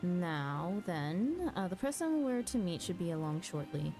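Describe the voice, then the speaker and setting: Woman streamer with a mellow, lowish voice. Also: sing-song. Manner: chatty, halting, muttering asides to self. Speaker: streamer, host of a live game stream. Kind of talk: casual live game-stream commentary, reading dialogue aloud. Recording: music behind the voice. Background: background music.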